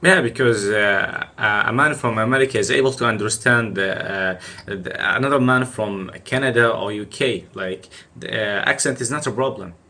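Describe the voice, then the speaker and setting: A young man whose first language is Arabic, hosting a casual conversation and speaking hesitantly with many pauses. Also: on the low side, sharp tone. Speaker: podcast host.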